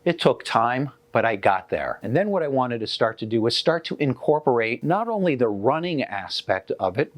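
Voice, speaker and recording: A man in his sixties speaks in a relaxed, conversational way, pitched right in the middle of the male range. He restarts and corrects himself often, sounding earnest and unpolished. The recording is dry and close, with no room echo. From United States. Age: 60s. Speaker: chiropractor. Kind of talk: casual talk to camera.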